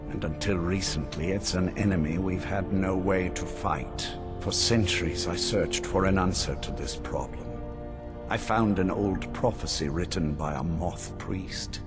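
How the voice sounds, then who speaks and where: An ancient vampire lord from a fantasy game, commanding and grandiose. His low voice moves slowly and solemnly through each proclamation, with music playing underneath. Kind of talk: fantasy video game voice acting.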